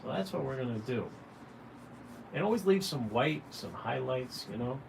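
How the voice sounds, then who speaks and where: A man's voice: medium pitch, animated, normal speed. A man giving a casual, folksy drawing tutorial on a livestream, talking directly and encouragingly to viewers. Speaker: caricature artist hosting a drawing livestream. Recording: clearly noisy room ambience.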